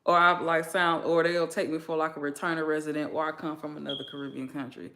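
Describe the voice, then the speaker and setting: Chatty bright-voiced woman vlogger. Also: low-pitched, little pitch movement, fast. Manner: chatty, laid-back, lots of fillers and slang. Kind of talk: casual chat with a friend.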